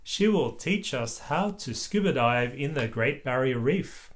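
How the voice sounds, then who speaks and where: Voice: medium pitch, expressive, a little slow, close to an everyday voice. An adult reading a storybook, voicing a friendly, enthusiastic female rabbit who organises trips. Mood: cheerful, explaining.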